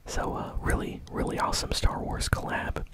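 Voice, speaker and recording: A host whispering gaming news in an informal, soft and hesitant ASMR style, with a breathy, unvoiced voice. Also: normal speed.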